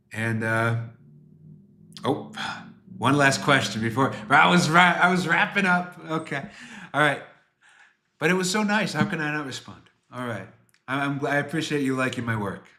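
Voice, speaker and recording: A bright, forward male voice in his twenties with a roomy echo around it. He talks casually to livestream viewers as a game developer, rambling through answers and poking fun at himself. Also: medium pitch. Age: 20s. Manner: rambling, self-deprecating.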